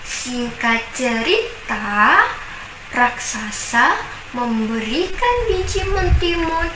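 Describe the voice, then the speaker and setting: A young woman university student telling a folk tale in a slow, expressive, sing-song way. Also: high-pitched. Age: young adult. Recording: echoey room, distant mic, noisy.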